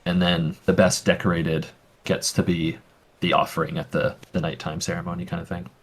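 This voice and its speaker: A man's voice: low voice, little pitch movement, moderate pace, slightly rough. A man working as game master, explaining the rules in a casual way with many fillers. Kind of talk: narrating a tabletop game.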